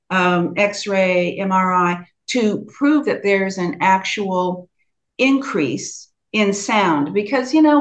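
A middle-aged woman from the United States with a soft Southern US accent answers interview questions slowly and deliberately. She leans on frequent fillers as she talks, and her voice sits at an ordinary middle pitch. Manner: slow, measured, with frequent fillers. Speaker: guest, sound healing practitioner.

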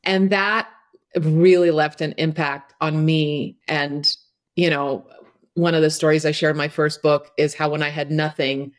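Woman, middle-aged, from Croatia, low pitch, open conversational guest, casual fillers. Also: measured pace. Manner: candid and animated, with frequent "you know" and "like". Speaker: podcast guest. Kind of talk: conversational interview.

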